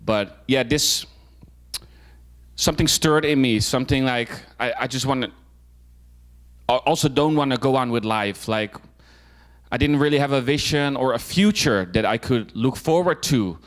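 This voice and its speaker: A man's voice: mid-range voice, moderate pace. A young adult man from the Netherlands, telling his personal story as a guest speaker with many fillers and restarts. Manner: informal, frequent fillers and restarts. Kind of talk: personal talk to a club audience.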